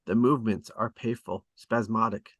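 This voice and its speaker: A man reading screenplay stage directions as scripted narration, with deliberate dramatic emphasis. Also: on the low side, steady tone, a bit bright, no echo. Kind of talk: scripted narration at a table read.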